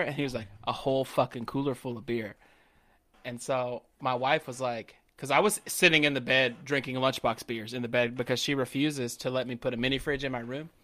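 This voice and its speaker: Bright-voiced Southern man telling stories. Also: medium pitch. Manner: animated storyteller who acts out quotes. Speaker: podcast co-host. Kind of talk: casual profane chat.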